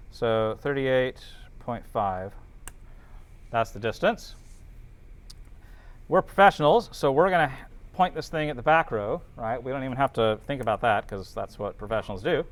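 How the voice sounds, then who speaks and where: A man with a clear, smooth voice teaches in an informal, classroom style. He thinks aloud step by step and checks in with the students as he works. His pitch sits in an ordinary middle range. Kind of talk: informal classroom lecture working through calculations.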